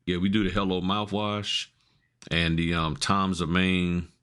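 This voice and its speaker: Deep-voiced American man chatting. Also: steady tone, unhurried, a bit bright, clean tone. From Florida, United States. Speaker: podcast co-host. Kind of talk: casual chat.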